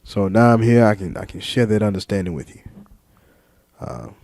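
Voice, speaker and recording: A man talking freely to his viewers in a reflective monologue, slow and deliberate, listing ideas one word at a time with some fillers and repeats. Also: fairly low pitch, lively intonation, gravelly, a little mellow, dry recording. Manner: lists ideas in measured single words. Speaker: vlogger.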